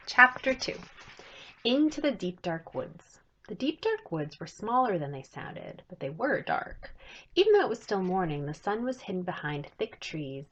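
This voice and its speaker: A woman in her thirties reading a children's story aloud in an expressive way, as an author would. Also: mid-range voice, moderate pace, a little hiss or hum. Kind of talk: storybook read-aloud, scripted narration. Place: small home room, indoors. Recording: dull lo-fi webcam audio.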